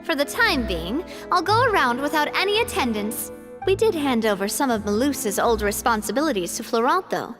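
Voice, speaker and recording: High-voiced, poised young lady boss. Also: normal speed. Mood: playful, modest. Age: young adult. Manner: poised, expressive, sincere. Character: young lady boss, gracious, resilient, playfully modest. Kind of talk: video game voice acting. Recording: faint music behind.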